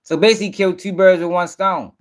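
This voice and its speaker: A man's voice: high voice, steady tone, fast talker. A man hosting a casual livestream, commenting bluntly in slang with swearing and repeating himself for emphasis. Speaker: host.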